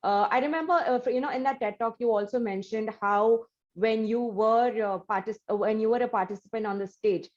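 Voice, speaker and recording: A woman's voice: a little high, brisk, hardly any pauses, darkish tone. Rambling woman interviewer, slightly muffled. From India. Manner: rambling, many fillers and "you know". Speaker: interview host. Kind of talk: interviewer asking long questions. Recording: slightly dull, lo-fi audio.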